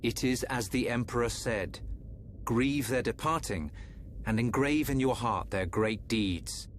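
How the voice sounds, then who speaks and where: A man's voice: mid-range voice, a little slow, slight background noise, lightly acted. A man playing a solemn, supportive royal counsellor in a video game, formal and grave. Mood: solemn, encouraging. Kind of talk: dramatic video game voice acting.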